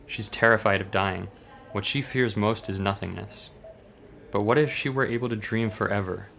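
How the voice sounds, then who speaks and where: A man playing a brooding, obsessive doctor in a horror manga dub, speaking in a grave, detached, gravelly way. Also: on the low side, moderate pace, fairly bright tone, a little hiss or hum. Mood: coldly intense. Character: brooding, obsessive male doctor, coldly rationalizing human experiments.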